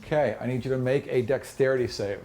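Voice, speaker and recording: A man's voice: mid-range voice, steady tone, fast, a little hiss or hum. A man working as game master, narrating a tabletop fantasy game in a dramatic, descriptive way. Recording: loud music under the voice. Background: music.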